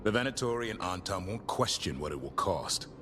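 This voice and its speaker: A man's voice: deep voice, expressive, brisk, music in the background. A man playing a serious, dutiful warrior ally in a fantasy game. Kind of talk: dramatic video game acting.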